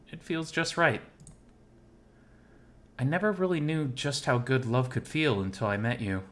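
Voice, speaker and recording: A man voicing a lovesick, gently self-mocking male animal character in first-person visual novel narration, intimate and wistful. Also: mid-range voice, lightly acted.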